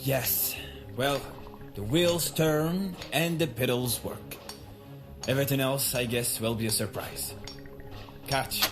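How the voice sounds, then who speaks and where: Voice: medium pitch, slow pace, long pauses, over music, close to an everyday voice. A performer playing a proud, refined and fussy gadget inventor in a pulp radio drama. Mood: resigned.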